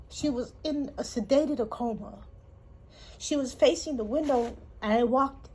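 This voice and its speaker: A woman from Hawaii, telling her life story in a halting, emotional way with pauses. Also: a little high, a little mellow, breathy edge, poor audio. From Honolulu, Hawaii. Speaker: interviewee. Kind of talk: personal testimony.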